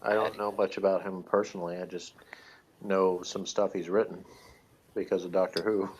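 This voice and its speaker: A man co-hosting a review show in an informal chat, pausing in the middle of his thoughts. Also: deep voice, little pitch movement, a little hiss or hum, poor audio. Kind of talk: casual chat.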